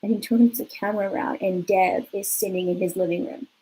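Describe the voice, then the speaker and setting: A woman's voice: medium pitch, steady tone, brisk, dark tone, husky, low-quality recording. A young woman from California telling a rambling personal story in a casual vlog, full of fillers and swearing. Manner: chatty, lots of fillers and swearing. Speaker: vlogger.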